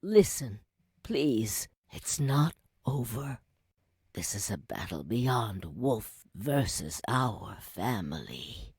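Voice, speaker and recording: An actress playing a frail, dying old grandmother in a video game, solemn and pleading, with a breathy, husky voice. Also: very deep voice, a little mellow, no room sound, clean studio sound, full character voice. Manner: slow, solemn, pleading storyteller delivery. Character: frail old dying grandmother, urgent, remorseful, mystical mentor. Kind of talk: dramatic video game voice acting.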